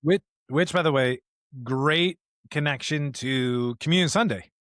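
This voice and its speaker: A man's voice: on the high side, unhurried, fairly bright tone, no echo. The man at the podcast table who slips into preaching, driving points home by repetition, recorded close and dry. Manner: emphatic, repeats rhetorical questions and phrases. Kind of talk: conversational podcast discussion with sermon-like passages.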